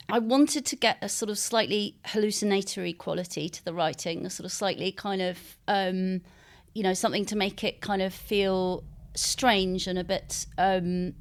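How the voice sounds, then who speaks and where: A mature woman being interviewed as a novelist, speaking reflectively and articulately, with hesitant pauses and softened phrasing. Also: mid-range voice. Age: middle-aged or older.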